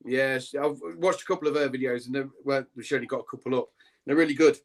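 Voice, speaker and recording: A man's voice: medium pitch, fast. A man chatting casually about ant keeping, rambling a bit with plenty of "um"s and "uh"s. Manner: rambling, relaxed, many "um"s and fillers. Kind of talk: casual chat.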